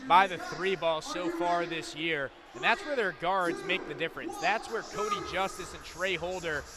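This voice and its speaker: A man's voice: fairly high pitch, normal speed, a crowd in the background. A man giving live analysis as a basketball color commentator.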